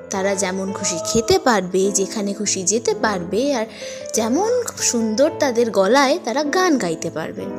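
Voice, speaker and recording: A woman narrating a scripted story as host, in an expressive storytelling style. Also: fairly high pitch. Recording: music under the voice. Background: background music.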